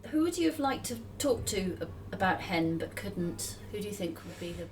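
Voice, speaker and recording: A woman's voice: mid-range voice, big pitch swings, normal speed. A woman interviewer talking conversationally in a literary interview. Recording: distant mic with clear background noise.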